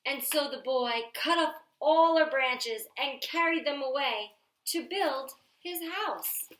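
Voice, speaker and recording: A woman reads a children's picture book aloud, dropping in chatty asides. Her voice is fairly high and bright. The room has a noticeable echo. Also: slow, slight background noise. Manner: chatty asides to young listeners. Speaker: library story time reader. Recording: roomy, echoey home-style recording.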